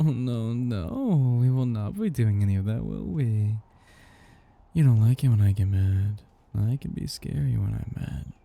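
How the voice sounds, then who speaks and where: Guy doing a slow gravelly low vampire master, condescending and possessive, crooning asmr roleplay. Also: exaggerated voice. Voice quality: husky, gravelly, dark.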